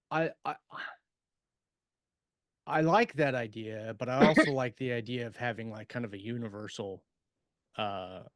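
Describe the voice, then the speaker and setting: A man co-hosting a podcast in casual chat, thinking aloud in a halting, tentative way. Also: fairly low pitch, dry recording, studio quality. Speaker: podcast co-host, science fiction author. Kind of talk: casual chat about writing craft.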